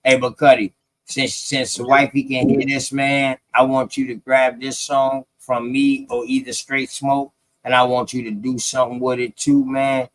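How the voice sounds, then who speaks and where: A man's voice: mid-range voice, level tone, unhurried, a bit bright, no echo. A man hosting a casual live panel chat, slangy and jokey, teasing and often repeating himself. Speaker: host of an open live panel.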